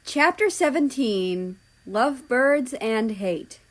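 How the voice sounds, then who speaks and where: A woman's voice: a little high, animated, slow. A woman narrating a story aloud in a dramatic reading style. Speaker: fan fiction reader.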